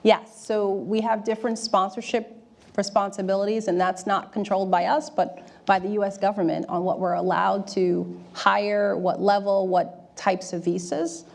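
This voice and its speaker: Candid interview guest: middle-aged woman, emphatic delivery, gravelly fry. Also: mid-range voice, flat delivery, normal speed, a little mellow. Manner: candid, emphatic, often adding "right?". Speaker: interview guest, corporate diversity executive. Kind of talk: candid on-stage interview answers. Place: auditorium stage before an audience.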